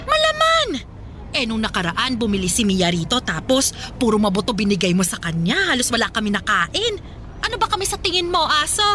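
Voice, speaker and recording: A woman's voice: a little high, sing-song, fairly quick, background noise. An actress playing a brazen, flirty, freeloading middle-aged single mother in a Filipino radio drama, sassy and teasing with coquettish wheedling. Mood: demanding. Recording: music behind the voice.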